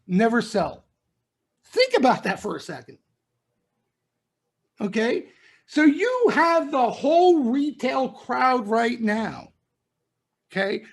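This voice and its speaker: A man's voice: very high voice, darkish tone. A middle-aged man hosting a trading broadcast, giving blunt, emphatic market talk in street-wise slang and constantly checking in with "okay?" and "right?". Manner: emphatic, punctuates nearly every point with "okay?" and "right?". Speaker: trading room host. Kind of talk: live market commentary broadcast.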